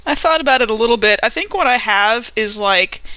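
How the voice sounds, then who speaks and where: Lively, bright female tabletop player, some noise. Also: a little high, a little fast. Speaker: player in a tabletop roleplaying game. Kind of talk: casual, chatty game talk.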